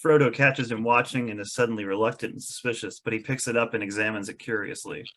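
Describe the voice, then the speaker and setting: A man's voice: medium pitch, steady tone, quick pace, fairly bright tone. A man reading stage directions as narrator in a table read of a film script.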